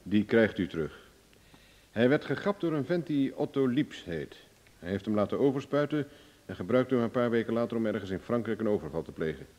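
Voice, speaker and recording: A male actor plays a self-assured private investigator in an old radio drama. His voice is mellow and middle-pitched, with animated, wry phrasing. The recording carries a long reverberant tail. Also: brisk, natural voice. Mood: cool, bargaining. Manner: glib, ironic, self-assured. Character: cocky, cool-headed male private investigator, wry and bargaining. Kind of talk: Dutch radio drama acting.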